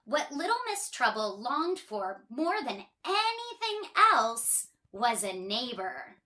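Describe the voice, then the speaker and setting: A woman reading a children's story aloud in an expressive way, drawing out words for emphasis. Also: fairly high pitch, slow pace.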